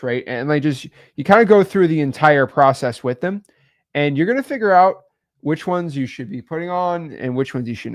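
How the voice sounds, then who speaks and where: A young man co-hosting a podcast, giving casual business advice in a rambling way with many fillers. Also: a little high. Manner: rambling, many fillers, animated swings in loudness. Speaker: podcast co-host.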